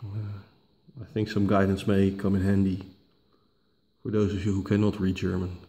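A man who is not a native English speaker, walking through a tablet reset step by step, unhurried and full of "uh" fillers and restarts, recorded at home on a camera mic. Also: deep voice, completely flat delivery, warm tone. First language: not English, picks Dutch. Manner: unhurried, many uh fillers, self-corrections. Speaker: tech tutorial host. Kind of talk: slow step-by-step screen walkthrough. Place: home dining room, indoors.

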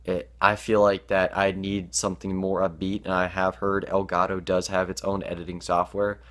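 A man's voice: sits low, monotone, moderate pace, a bit bright. A teenage boy vlogging to his webcam in a small bedroom, hesitant with many ums, sounding stuffed up from allergies. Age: teens. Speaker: gaming YouTuber. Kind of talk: casual vlog to camera.

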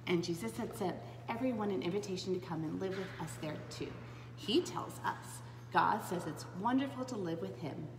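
A woman's voice: lowish voice, normal speed. Echoey woman reading a children's Bible storybook. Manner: animated and dramatic, with gasps, hushed asides and questions to young listeners. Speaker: preschool storytime reader. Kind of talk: expressive read-aloud of a children's Bible storybook. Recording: roomy, echoing space with clear background noise.

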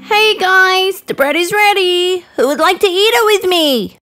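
A woman's voice: extremely high pitch, expressive, a little slow, pushed and bright, soft background music, full character voice. A woman voicing a small, eager, hard-working red hen in an exclamatory children's cartoon style. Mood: eager, calling. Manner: exclamatory, insistent. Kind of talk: children's cartoon story acting.

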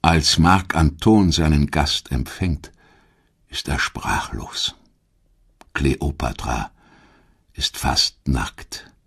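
A man reading a scripted audio documentary in a measured, dramatic storytelling manner, with a husky voice. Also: rumbling low, animated, fairly bright tone. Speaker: documentary narrator. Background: background music.

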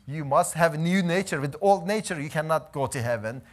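Male missionary, 30s, lively storytelling testimony, fairly high voice. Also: brisk. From Iran. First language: Azeri. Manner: animated storytelling, gesturing while pacing. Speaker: missionary preacher. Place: church hall, indoors.

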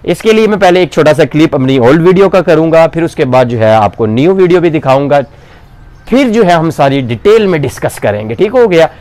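A man's voice: fairly high pitch, animated, brisk, a little mellow, no room sound. Young Pakistani Punjabi-accented man in his 20s; chatty, explanatory coach; lapel mic outdoors, dry. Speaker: fitness coach and bodybuilder. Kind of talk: casual talk to camera. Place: outdoors in front of a brick wall. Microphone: clip-on lapel mic.

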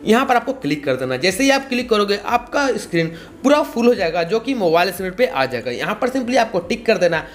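A young man hosts a casual tutorial. His pitch moves up and down in a lively way as he explains each step, often repeating himself. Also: brisk, hardly any pauses, a little hiss or hum. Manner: repetitive, explanatory. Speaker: tutorial host.

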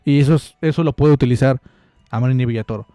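A man's voice: medium pitch, quick pace, warm tone, clear, resonant tone, dry recording, soft background music. A young man giving a rambling, joking football analysis into a close studio mic in a small room. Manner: rambling, joking, many restarts. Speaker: football commentator. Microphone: studio mic close up with headphones.

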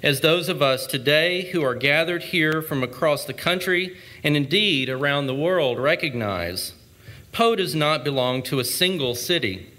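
A man delivering a formal ceremonial speech with measured, oratorical phrasing. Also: on the high side, animated, pushed and bright. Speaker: speaker at a commemoration.

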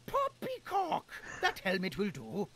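A man's voice: sits high, huge pitch swings, measured pace, fairly bright tone, slight background noise, heavily acted. An actor playing a pompous old male gnome commander in a game, blustery and theatrical.

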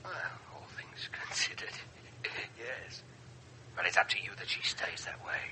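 Taunting male kidnapper, menacing whisper, sharp hissing edge, telephone line, radio play. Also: a little slow. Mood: quiet menace. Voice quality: whispering, breathy. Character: sinister male kidnapper phoning a victim's mother. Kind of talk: 1970s radio drama acting.

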